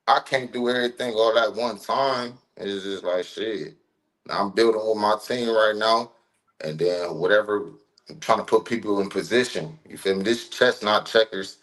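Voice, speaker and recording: A man's voice: on the low side, a bit bright, low-quality recording. A man in his thirties from Ohio, a rapper chatting casually as a podcast guest in a laid-back, slangy, rambling way with many fillers. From Ohio, USA. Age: 30s.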